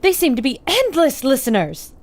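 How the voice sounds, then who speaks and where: Actress as old-time lady radio reporter; earnest, wonder-struck, lightly high voice, adventure serial narration. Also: wildly swooping pitch, normal speed. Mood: awed. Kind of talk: 1930s radio reporting acting.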